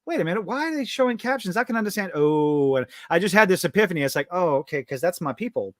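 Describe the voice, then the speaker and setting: A man, hosting a casual livestream chat and telling stories with lively gestures in his voice. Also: sits high, fast talker, no room sound, studio quality. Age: 50s. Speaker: podcast host.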